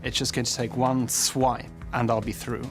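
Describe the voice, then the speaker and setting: A man's voice: medium pitch, flat delivery, normal speed. Grandiose male contestant from London, mid-range voice, music under. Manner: grandiose, self-assured. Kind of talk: casual chat and interview.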